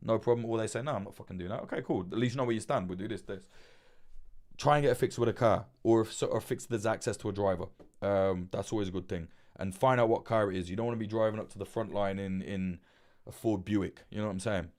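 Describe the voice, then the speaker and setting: Man, livestream host, casual, swears a lot, rambling, restarts, desk mic, slightly low voice. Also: fast talker, darkish tone. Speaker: livestream host giving journalism advice. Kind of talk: casual livestream chat answering superchats. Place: indoors at a laptop with webcam. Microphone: desk mic through a mixer.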